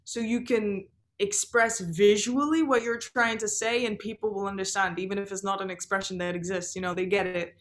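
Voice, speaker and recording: A woman's voice: mid-range voice, fairly even intonation. Chatty young woman, Turkish native. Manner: chatty, laughs often, quick asides. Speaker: musician guest. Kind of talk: casual chat.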